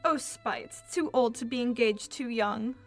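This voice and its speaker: A woman's voice: fairly high pitch, faint music behind. A young woman playing a devoted young Athenian lady in love, reciting Shakespearean verse earnestly in a radio play. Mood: sorrowful, resolute. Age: young adult. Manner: earnest, measured verse delivery.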